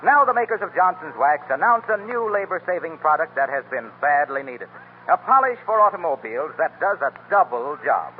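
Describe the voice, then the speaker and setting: A man's voice: fairly high pitch, sing-song, fairly quick, narrow, tinny audio, a little hiss or hum. An American man announcing in polished, formal 1930s radio style, like a sponsor's salesman, on an old broadcast recording.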